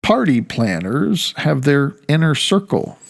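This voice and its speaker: A man's voice: a little high, sing-song, a little slow, gravelly. A man in his fifties reading his own reflective audiobook essay, with wry, emphatic phrasing.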